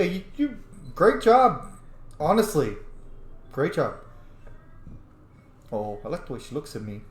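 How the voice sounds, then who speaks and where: A man giving casual, animated gameplay commentary, laughing often and swearing freely. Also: medium pitch, echoey room.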